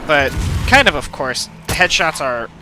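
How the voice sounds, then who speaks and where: A man's voice: a little high, sing-song, moderate pace, music in the background. A man giving casual, rambling let's play commentary with playful asides. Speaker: gaming video host. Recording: game gunfire and ambience behind voice. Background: game gunfire and effects.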